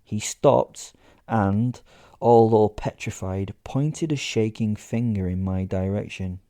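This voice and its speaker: A man's voice: fairly low pitch, takes it slowly, warm tone, no room sound, studio quality. A man narrating a first-person horror comedy story as a podcast host, with wry, conversational asides.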